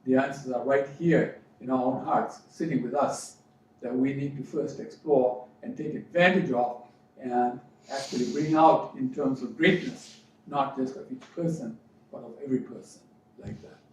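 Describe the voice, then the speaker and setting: Male motivational spiritual coach from Fiji, deliberate preaching delivery with emphatic repeats; heavily reverberant room, distant mic. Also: medium pitch. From Fiji, educated in New Zealand. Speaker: life coach and spiritual speaker. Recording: very echoey room, distant mic, dull sound, faint noise.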